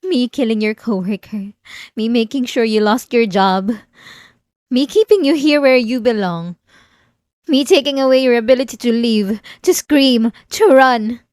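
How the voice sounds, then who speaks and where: A woman's voice: on the high side, a little slow, a little mellow, no room sound, studio quality, lightly acted. A woman playing an unhinged, possessive and obsessive wife in a dramatic ASMR roleplay, intense and swinging between pleading and menace. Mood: justifying, intense. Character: unhinged possessive, obsessive young wife confronting her husband.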